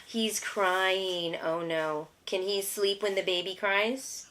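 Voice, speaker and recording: A woman with a mid-range voice reads a picture book aloud at an unhurried pace. She speaks simply, as a mother teaching a young child at home. She pauses to prompt with easy questions and encourages the answers patiently. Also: medium pitch, steady tone, fairly bright tone. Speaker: homeschooling mother teaching her child to read.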